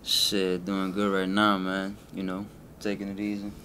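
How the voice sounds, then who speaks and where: Young man, Colombian accent, deep voice, relaxed halting speech, faint low rumble behind. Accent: Colombian-Spanish. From Colombia, raised in Miami. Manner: laid-back, halting, many restarts and fillers. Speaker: rapper. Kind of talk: casual interview.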